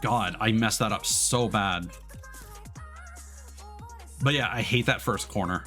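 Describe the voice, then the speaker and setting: A man's voice: lowish voice, slow pace, pushed and bright, soft background music. A man streaming a game with casual, informal, sweary live commentary. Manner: informal, sweary, self-critical. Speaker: streamer, VTuber.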